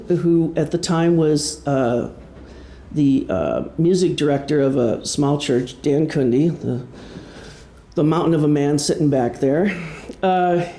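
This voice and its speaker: A man giving an informal talk about his own creative work, speaking in a halting, self-deprecating, conversational way before an audience. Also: fairly high pitch, warm tone. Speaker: author and musician giving a presentation. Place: indoor room before an audience. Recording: roomy live presentation sound.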